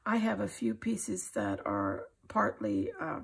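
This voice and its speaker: Gravelly, husky older woman. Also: medium pitch, sing-song, takes it slowly. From United States. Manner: unscripted, folksy, encouraging. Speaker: craft tutorial host. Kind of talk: informal hands-on craft demonstration talk. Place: indoors at a craft table.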